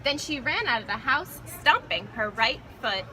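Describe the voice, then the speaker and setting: A woman's voice: a little high, big pitch swings, normal speed, bright, forceful tone. A woman reading a children's picture book aloud expressively, recorded outdoors. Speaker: storybook reader. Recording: noisy outdoor recording with traffic.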